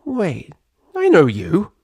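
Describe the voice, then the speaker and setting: A man's voice: sits high, wildly swooping pitch, slow pace, mellow, breathy edge, no echo, pitched above the performer's own voice, exaggerated voice. A man voicing a brash, irritable young female pegasus pony from a cartoon story, with a cracking voice and slangy, blustering delivery. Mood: cocky, scolding. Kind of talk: animated character voice in a story reading.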